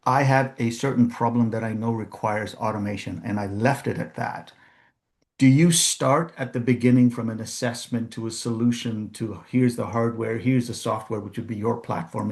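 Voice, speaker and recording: Gravelly man asking careful questions. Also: mid-range voice, moderate pace, a little mellow. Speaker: panel participant asking a question. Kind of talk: meeting question.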